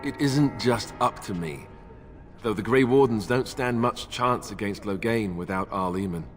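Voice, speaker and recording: A man voicing a wry young warrior, very deep. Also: normal speed, natural voice. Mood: earnest. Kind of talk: game voice acting.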